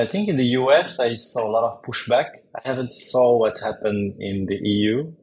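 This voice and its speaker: A man, a company founder as a podcast guest, talking in a measured, hesitant way and searching for words. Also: fairly low pitch, low-quality recording. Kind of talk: casual interview talk.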